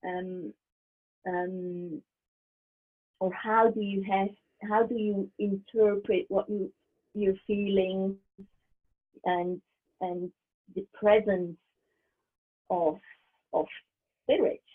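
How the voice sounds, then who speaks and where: A middle-aged woman whose native language is German, a medium speaking as an interview guest over a video call in a thoughtful, halting way, often restarting and laughing softly. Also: medium pitch, fairly even intonation. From Switzerland. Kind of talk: casual interview. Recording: tinny video call line.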